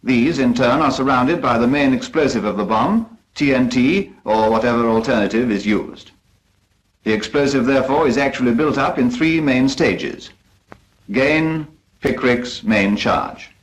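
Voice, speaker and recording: A man's voice: medium pitch, unhurried, poor audio. A British man narrating a 1940s instructional film from a script in a formal, precise, instructive manner.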